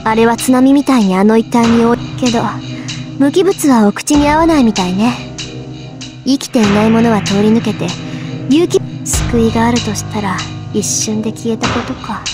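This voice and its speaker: An actress playing a sharp, self-possessed teenage girl mage with a brisk, analytical manner in a visual novel. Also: a little high, natural voice. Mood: grave, analytical. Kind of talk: Japanese visual novel voice acting. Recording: music clearly behind the voice.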